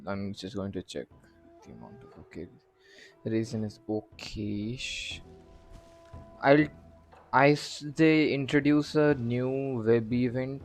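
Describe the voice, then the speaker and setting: A man's voice: mid-range voice, slow pace, long pauses. A man chatting casually on a game livestream, thinking aloud in a hesitant, puzzled way. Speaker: game streamer. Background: faint game music.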